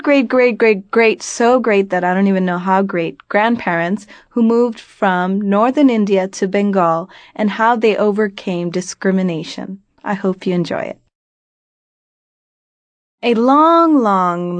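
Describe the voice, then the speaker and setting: A woman with an American accent tells a folk tale as an expressive storyteller, in a deliberate, dramatic manner with phrases drawn out. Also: mid-range voice, no room sound. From grew up in Virginia, United States.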